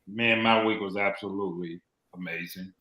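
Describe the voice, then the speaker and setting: A man telling a story in casual, animated chat on a talk show. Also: low-pitched, normal speed, echoey room, crowd noise. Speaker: co-host.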